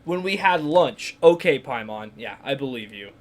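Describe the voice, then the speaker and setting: A woman's voice: extremely low pitch, animated, normal speed. A woman giving casual, wry let's play commentary as she reacts to a game. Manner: wry, informal.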